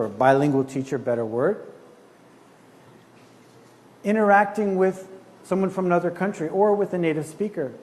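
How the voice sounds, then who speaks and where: Man lecturing in a reverberant hall. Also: a little high. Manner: relaxed, chatty, frequent restarts. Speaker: conference speaker. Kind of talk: conversational lecture. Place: conference hall. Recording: reverberant hall with faint noise.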